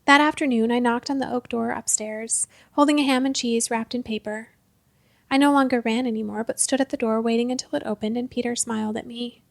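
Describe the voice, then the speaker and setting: A woman narrating a literary short story in a measured, expressive storytelling manner. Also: a little high, creaky.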